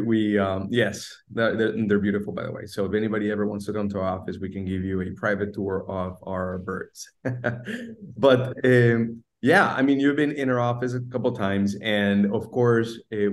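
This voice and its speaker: Chatty man hosting a video-call webinar. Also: on the low side. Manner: chatty, many fillers and restarts. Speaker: webinar host. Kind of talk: casual hosted webinar. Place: home room with shelves and plants. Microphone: laptop webcam video call.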